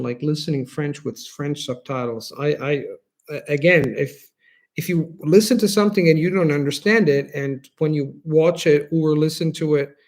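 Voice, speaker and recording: A man's voice: on the high side, a little mellow. A man with an Italian accent, a native Italian speaker, hosting a live stream and answering viewer questions in an earnest, explanatory way. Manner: explanatory, earnest, restarts phrases while thinking.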